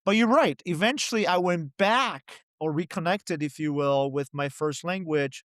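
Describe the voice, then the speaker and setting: A man's voice: fairly high pitch, moderate pace, fairly bright tone, no echo, clean studio sound. A middle-aged man from Poland, a poet and translator, answering interview questions in a reflective way with many "you know" and "right?" asides.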